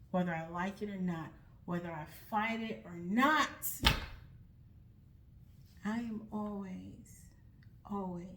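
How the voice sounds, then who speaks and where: A woman's voice: fairly low pitch, fairly bright tone, slight background noise. A young American woman speaking earnestly and reflectively to her viewers, often restarting her sentences. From United States. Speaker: vlogger starting a nonprofit. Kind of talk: earnest personal talk to camera.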